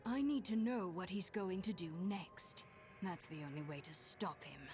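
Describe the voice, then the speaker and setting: A woman's voice: medium pitch, expressive, normal speed, darkish tone, faint music behind, natural voice. An actress voicing a determined, direct woman hero in a video game.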